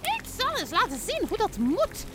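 A shrill, greedy old witch. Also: huge pitch swings, normal speed, over loud music, full character voice. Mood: impatient scolding. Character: old crooked greedy witch, cruel and gloating. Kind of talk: storybook reading.

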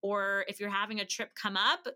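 A woman's voice: mid-range voice, fairly even intonation, normal speed, pushed and bright. An American woman as a podcast guest, explaining things in an informal, conversational way. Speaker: podcast guest, company representative.

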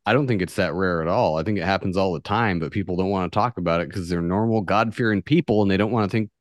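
Low-voiced man chatting bluntly. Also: fast talker, dry recording, clean studio sound. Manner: blunt, slangy, swearing. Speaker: podcast host. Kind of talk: casual chat.

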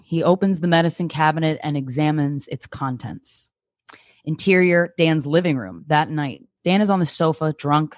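A woman's voice: sits low, no room sound, studio quality. A woman comedian guesting, chatting casually and reading screenplay stage directions aloud.

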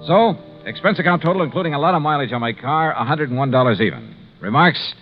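Tough American private investigator, shrewd, clipped, dramatic, very expressive pitch, 1950s radio drama, dulled vintage sound. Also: male voice, quick pace. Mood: wry, grim. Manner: clipped, hard-boiled, dramatic delivery. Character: tough, shrewd male insurance investigator narrating his case. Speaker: lead actor, narrator. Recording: muffled 1950s radio recording with music.

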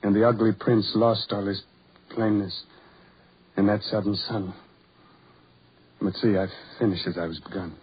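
An actor playing a bitter, despairing, ugly man in a theatrical, poetic 1940s radio drama style, with a husky voice. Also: on the low side, level tone, a little slow, pauses often. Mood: wistful, poetic. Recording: muffled old 1940s radio recording.

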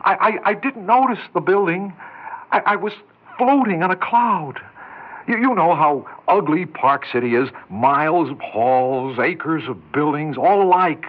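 An actor playing a timid, self-loathing lonely bachelor in an American 1960s radio drama, stammering and repeating himself in a husky voice. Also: male voice, on the high side, expressive. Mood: dreamy, excited. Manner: stammering, halting, repeats words. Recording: dull 1960s radio recording.

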